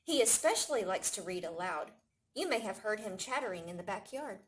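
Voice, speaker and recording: A woman reading a picture book aloud to young children in an expressive storytelling manner. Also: medium pitch, brisk, slight background noise. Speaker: library staff storytime reader.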